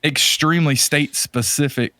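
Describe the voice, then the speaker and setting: A man's voice: a little high, moderate pace, pushed and bright, dry recording, clean studio sound. A man chatting casually as a podcast host, rambling and enthusiastic about his subject. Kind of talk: casual chat about retro games and movies.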